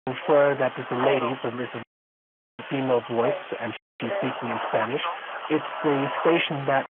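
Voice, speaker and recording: A man's voice: medium pitch, steady tone, normal speed, narrow, tinny audio, heavy background noise. A man speaking enthusiastically and descriptively in an interview. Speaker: interviewee researcher. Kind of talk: interview commentary.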